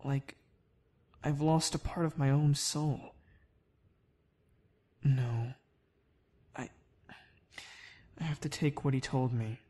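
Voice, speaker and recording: A man's voice: medium pitch, fairly even intonation, slow pace, long pauses, mellow. A man playing an earnest young soldier who speaks hesitantly. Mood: grieving. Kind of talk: fan dub of a sci-fi anime parody.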